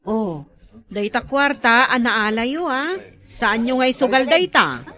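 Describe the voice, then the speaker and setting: Female, slightly high voice, acting an admonishing village supervisor in a comedy skit. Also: lively intonation, takes it slowly, bright, forceful tone, exaggerated voice. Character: admonishing woman supervisor handing out wages. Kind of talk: comedy skit dialogue in Ilocano.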